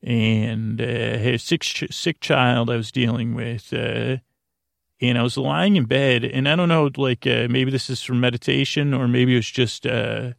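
A man hosting a sleep podcast in a rambling, halting monologue, trailing off and interrupting himself with whimsical, self-deprecating humor. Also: mid-range voice, fairly even intonation, vocal fry, dry recording, clean studio sound. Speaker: podcast host.

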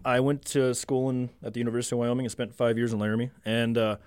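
A man's voice: mid-range voice, flat delivery, fast talker, darkish tone, smooth, no echo. Young man in dry, casual chat. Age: young adult. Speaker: new podcast co-host.

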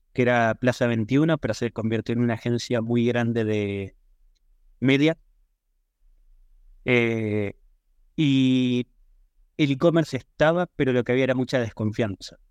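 A man with an Argentine Spanish accent answers as a podcast guest in a conversational, measured, explanatory way. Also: mid-range voice, a little mellow, clean tone, no echo, studio quality. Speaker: podcast guest, e-commerce professional.

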